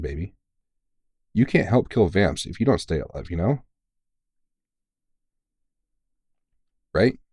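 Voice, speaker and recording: A man's voice: lowish voice, a little mellow, slightly rough, no echo, studio quality. A man playing a tired, kindly veteran vampire hunter who mentors a rookie in an informal, teasing, reassuring way, in an ASMR comfort roleplay. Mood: encouraging, fatherly. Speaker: voice actor in audio roleplay.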